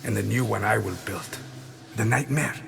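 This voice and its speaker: An actor playing a weary, guilt-ridden but resolute veteran assassin, narrating his past in a solemn, measured video game voice-over. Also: lowish voice, gravelly, a little hiss or hum. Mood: brooding, resolute. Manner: brooding, measured, literary.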